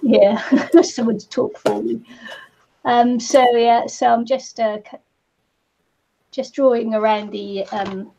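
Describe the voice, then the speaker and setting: A woman with a New Zealand accent and a scratchy voice today, hosting a relaxed craft chat. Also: on the high side, creaky. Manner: slow, relaxed, laughs between phrases. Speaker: craft channel host.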